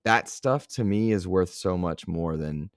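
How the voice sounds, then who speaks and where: A man's voice: low-pitched, measured pace, dry recording, clean studio sound. A man hosting a gaming podcast, earnest and reflective, whose voice is getting worn. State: losing his voice. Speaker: podcast host. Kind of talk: casual podcast chat.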